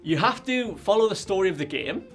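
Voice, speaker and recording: A man in a casual group chat about games, excitable and rambling, with lots of "like" fillers. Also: sits high, brisk, soft background music. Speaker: podcast panelist.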